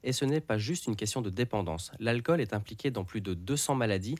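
A man's voice: on the low side, lively intonation, a little fast, a little mellow, dry recording. A man co-hosting a podcast, delivering scripted explanations in an articulate, didactic manner. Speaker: podcast co-host.

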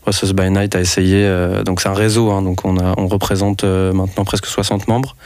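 A man's voice: low voice, flat delivery, brisk, darkish tone, no room sound. A young man from France, a festival organizer speaking as a radio interview guest, hesitant with many restarts. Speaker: festival organizer and network coordinator.

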